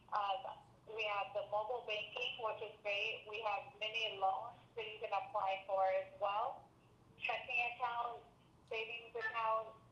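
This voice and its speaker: A woman working as a credit union representative, answering member questions on a conference call. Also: a little high. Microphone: conference call connection. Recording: echoey, muffled webinar call with background noise.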